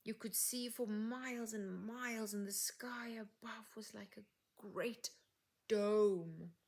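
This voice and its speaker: A woman reading a fairytale aloud as a storyteller, with deliberate, expressive phrasing and soft, breathy word endings. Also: a little high. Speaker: English teacher reading stories.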